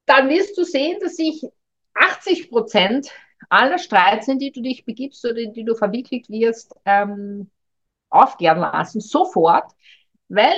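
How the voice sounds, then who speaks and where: A middle-aged woman, speaking as a communication coach in a thoughtful, hesitant way and addressing the listener directly. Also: on the high side. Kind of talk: reflective advice monologue. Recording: dull lo-fi recording.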